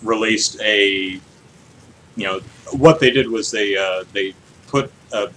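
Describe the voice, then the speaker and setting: A man's voice: lowish voice, slow, a bit bright, poor audio. Casual man over background noise. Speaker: podcast co-host. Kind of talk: casual discussion of video game news.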